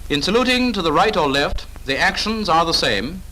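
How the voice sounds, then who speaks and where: A man's voice: fairly high pitch, very animated, normal speed, heavy background noise. A British man narrating a 1940s instructional film in a clipped, authoritative, instructive manner, on an old film soundtrack.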